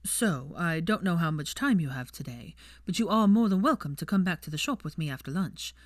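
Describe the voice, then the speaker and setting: A woman voicing a proud, guarded young man, a wizard in an audiobook, in a measured, formal manner. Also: fairly low pitch, lively intonation, dry recording, studio quality. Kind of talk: audiobook character voice.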